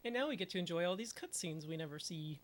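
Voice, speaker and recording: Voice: mid-range voice, animated, quick pace. A speedrunner giving casual commentary over a run, muttering at the game and using mild minced oaths.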